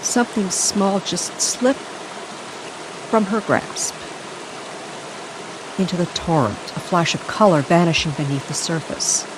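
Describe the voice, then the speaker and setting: A woman narrating a scripted mystery story dramatically, with deliberate, suspenseful phrasing. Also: fairly low pitch, expressive, background noise.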